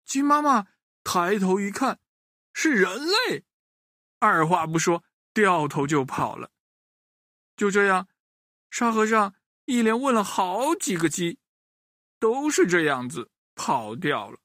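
A man narrating a children's story in an expressive, exclamatory way. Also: sits high, dry recording, clean studio sound. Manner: animated, slow, expressive.

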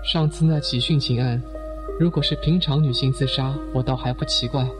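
A man's voice: medium pitch, steady tone, fairly quick, music in the background, natural voice. A man playing a serious middle-aged member of a wealthy family in a detective audio drama. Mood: serious, measured.

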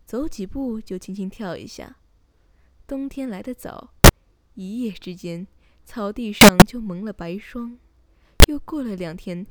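Loud background sound sits behind a woman narrating a children's bedtime story. She is a radio host with a soothing, scripted storytelling delivery, at an ordinary pitch for a woman. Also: heavy background noise.

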